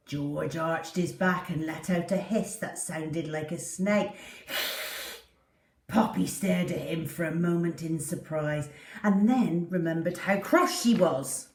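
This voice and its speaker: A woman narrates a children's storybook with animated drama. Her pace is slow and measured. The room around her voice is audibly echoey. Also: sits low, recorded from a distance.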